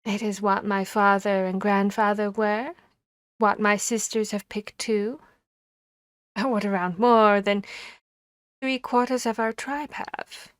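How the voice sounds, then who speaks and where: A woman voicing a harpy seeking a human mate, commanding yet courteous and bashful, speaking formally and deliberately with hesitant pauses in an ASMR roleplay. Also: medium pitch, steady tone, smooth, no echo, clean studio sound, close to an everyday voice. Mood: hesitant, explaining.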